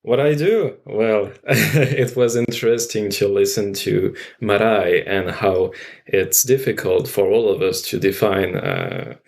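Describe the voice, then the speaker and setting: A man with a French accent speaking English as a podcast guest, halting and thoughtful in casual conversation. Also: on the low side, gravelly. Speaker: guest, theater artist.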